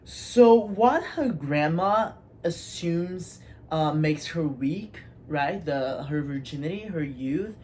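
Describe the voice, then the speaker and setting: A low-pitched woman talks through a literary analysis in a casual, chatty way. She is informal and playful, dropping asides to the viewer. Background noise is audible in the recording. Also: lively intonation, slow pace, warm tone. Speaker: host of a literature video series.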